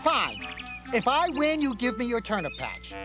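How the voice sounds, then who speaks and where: A woman's voice: on the high side, huge pitch swings, measured pace. A woman voicing a boastful, smug cartoon hare who teases and mocks. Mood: boastful, mocking. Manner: mocking, smug teasing. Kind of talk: animated cartoon voice acting. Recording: music behind the voice.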